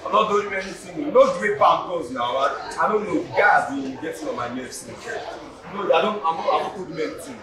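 Voiced by a man, an excitable young Nigerian man, his voice high and lively as he argues and pitches. He is heard from across an echoey hall with a steady noise underneath. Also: brisk, a crowd in the background, natural voice. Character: Caleb, young man pitching a groundnut business. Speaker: actor in a stage play. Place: stage in a hall. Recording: echoey hall, far camera mic, noise.

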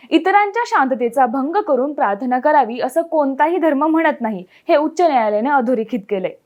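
A woman news presenter, reading a scripted news explainer in a clear, measured way. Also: sits high, darkish tone.

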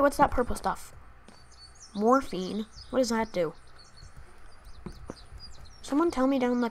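A woman's voice: fairly high pitch, a little hiss or hum. A woman from Canada, chatting casually to viewers while narrating her gameplay into a new desktop microphone. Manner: chatty, thinking aloud to viewers. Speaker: gaming channel host.

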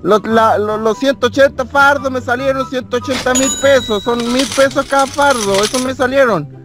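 A man's voice: very high voice, moderate pace. A man voicing a whiny, indignant Chilean peasant farmer in a pleading, rustic way on a prank phone call. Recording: music behind the voice.

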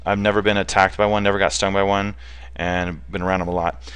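A man's voice: low-pitched, little pitch movement, fast talker, a bit bright, smooth. A man giving an informal, unscripted explanatory presentation in a conversational, plain-spoken way, as a hands-on specialist presenter. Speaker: bee removal specialist presenter.

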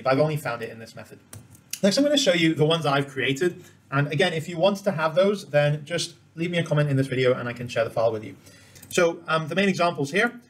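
A man presenting an informal screen-share tutorial, explaining each step as he demonstrates it. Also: on the high side. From Cambodia.

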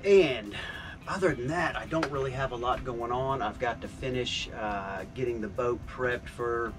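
An older man speaks at an ordinary mid-range pitch as he chats about his gear. His manner is relaxed and upbeat, with easy fillers. He is recorded by a camera a few feet off inside a small boat cabin, and music plays clearly under his voice. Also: moderate pace. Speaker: sailing vlogger. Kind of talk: casual vlog update. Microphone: camera on a tripod a few feet away.

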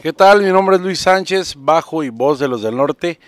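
A man from Mexico, a bassist and singer, reminiscing in a jovial way during a casual group interview. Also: lively intonation, moderate pace, dry recording.

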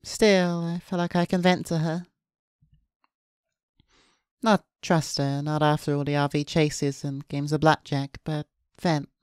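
A woman's voice: low-pitched, fairly even intonation, measured pace, smooth, no echo, clean studio sound. A woman streamer reading visual novel narration aloud, with casual asides. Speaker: VTuber streamer. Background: soft guitar game music.

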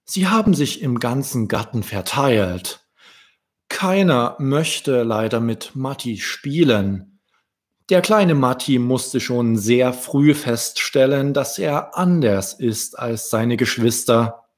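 A man in his thirties reading a story aloud to young children, gently addressing the listener directly. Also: medium pitch, expressive, slow. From Germany. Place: small room with wood-panelled wall. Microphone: desk microphone with pop filter, close.

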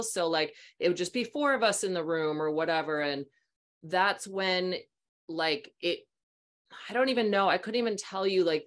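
Chatty woman telling stories at home. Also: fairly low pitch. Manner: animated, chatty, acts out voices in her stories. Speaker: host, sound healing practitioner. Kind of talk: casual storytelling on a video call. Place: small room at home, indoors. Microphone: video call webcam mic.

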